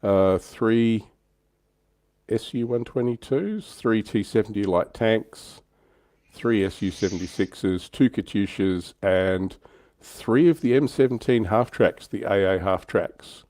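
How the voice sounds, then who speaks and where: Male hobby streamer, low-pitched, close dry sound, conversational panellist delivery. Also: slow, darkish tone, no room sound. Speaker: livestream panellist on a tabletop wargaming show. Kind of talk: casual hobby discussion.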